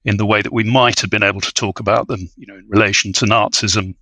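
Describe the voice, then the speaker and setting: A man speaking as an expert guest in an academic discussion, hesitant and scholarly, often pausing to rephrase. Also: lowish voice, steady tone, pushed and bright, no room sound. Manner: halting, hedging, scholarly, frequent "you know". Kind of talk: academic discussion on a film podcast.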